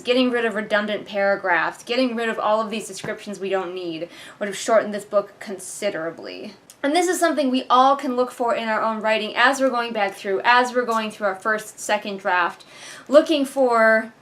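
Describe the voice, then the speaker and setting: A woman's voice: medium pitch, fairly bright tone, a little hiss or hum. A woman hosting a casual read-along, reviewing a book with exasperated, sarcastic commentary. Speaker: book reviewer and video host.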